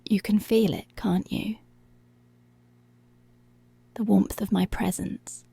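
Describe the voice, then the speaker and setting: Woman acting as a bossy seductive lady cop, asmr roleplay, super slow n breathy, soft dark tone, close dry sound. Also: medium pitch, fairly even intonation, dry recording, heavily acted. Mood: teasing, controlling. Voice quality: breathy, whispery. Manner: slow, deliberate, controlling, drawn-out phrases. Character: dominant, teasing policewoman, commanding and seductive. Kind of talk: intimate ASMR roleplay, much of it whispered.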